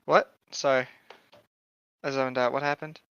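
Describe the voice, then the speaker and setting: A man's voice: medium pitch, level tone, measured pace, a bit bright, smooth, dry recording. A man's voice on a dull, slightly lo-fi mic with no room sound. He chats and reads aloud casually, adding wry, rambling jokes as he goes. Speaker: co-host of a comedy reading show. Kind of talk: casual read-aloud with joking banter.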